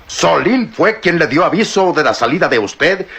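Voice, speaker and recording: A man's voice: on the high side, lively intonation, moderate pace, creaky, fairly bright tone, breathy edge, full character voice. An actor playing a stern male police inspector in an old radio drama, theatrically accusing. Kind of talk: 1960s radio drama acting.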